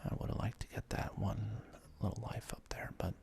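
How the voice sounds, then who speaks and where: A man giving whispered ASMR commentary as a gaming video host, halting and hesitant, with casual asides as he plays. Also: on the low side, little pitch movement, mellow.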